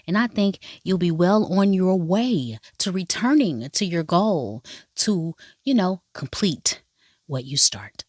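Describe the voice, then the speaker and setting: A woman's voice: fairly low pitch, lively intonation, slow pace, darkish tone. A woman reviewing dramas in a casual vlog, talking straight to her viewers in an emphatic, playful way and swearing freely. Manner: talks straight to viewers, calling them baby and y'all. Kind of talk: casual first-impressions review.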